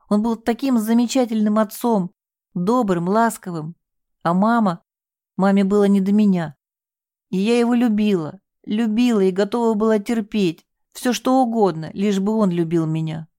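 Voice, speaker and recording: Woman voicing a shrill, desperate young woman. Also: normal speed, few pauses, warm tone, no echo, clean studio sound, lightly acted. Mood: bitter. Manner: sobbing, shrill, desperate pleading. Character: distraught young woman, hysterical and bitter.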